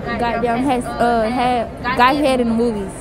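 A woman's voice: fairly high pitch, flat delivery, measured pace. A teenage girl answering a school interview in a blunt, offhand way. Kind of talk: casual answers. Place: school gym. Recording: loud crowd noise behind.

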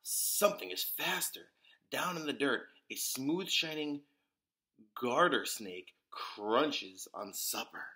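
Bright-voiced man reading a storybook slowly. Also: medium pitch, animated. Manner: deliberate, dramatic emphasis with occasional whispers and exclamations. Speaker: storyteller reading a picture book aloud for preschoolers. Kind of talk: expressive read-aloud of a children's picture book.

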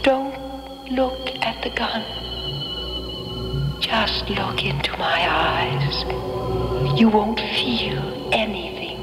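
An actress playing the hushed, hypnotic ghost of a dead wife in a radio drama, softly menacing. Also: female voice, mid-range voice, lively intonation. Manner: slow, hushed, hypnotic commands. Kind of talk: 1970s radio drama acting. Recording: long reverb, loud music behind.

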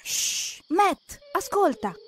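A woman narrating a preschool cartoon in an expressive, exclamatory way, speaking to the characters. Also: extremely high pitch, very slow. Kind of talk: lively scripted narration for preschoolers, talking to the characters. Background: background music.

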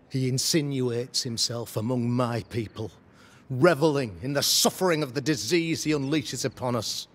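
An actor playing a pompous, pious, middle-aged preacher in a video game, with florid, oratorical delivery. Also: male voice, medium pitch, slight background noise, close to an everyday voice. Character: pompous, pious preacher, learned man of the cloth. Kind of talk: theatrical video game acting, sermonizing.

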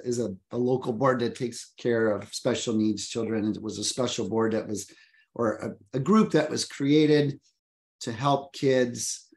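A man's voice: mid-range voice, darkish tone. A man in his fifties, a real estate agent, speaking earnestly on a panel over a video call from his office, often repeating words as he thinks. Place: home office. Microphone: video call webcam.

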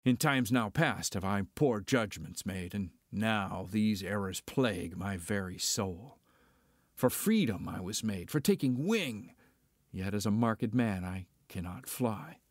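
An actor playing a cocky, roguish smuggler pilot, declaiming archaic verse in an amateur Shakespearean reading. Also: male voice, lowish voice, expressive, a little slow, no echo, clean studio sound. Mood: brooding.

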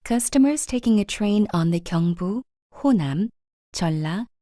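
Female station announcer, very unhurried and formal, with melodic pitch movement. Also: lively intonation, mellow, no room sound, clean studio sound. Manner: measured, formal, carefully enunciated. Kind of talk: scripted transit announcement.

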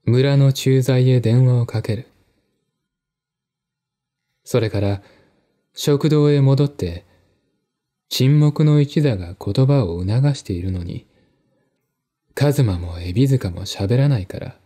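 Slow, mellow male mystery narrator. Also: mid-range voice. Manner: measured, deliberate pauses. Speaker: audiobook narrator. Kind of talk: dramatic scripted narration of a mystery novel. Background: faint music.

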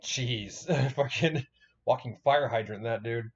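A man reacts casually to a video, swearing freely and rambling in a blunt, joking way. His pitch is middling and the recording sounds slightly muffled. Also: unhurried, breathy edge. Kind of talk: casual, profane reaction commentary.

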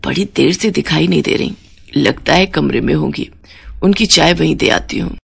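A woman voicing a caring, sensible elderly mother-in-law in a cartoon story, speaking in a measured way. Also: deep voice, gravelly, husky, full character voice. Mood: puzzled.

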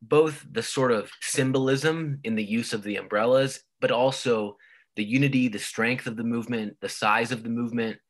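A man's voice: mid-range voice, moderate pace. A man giving a university lecture over slides, explaining at length and often restarting with repeated words. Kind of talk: recorded online lecture.

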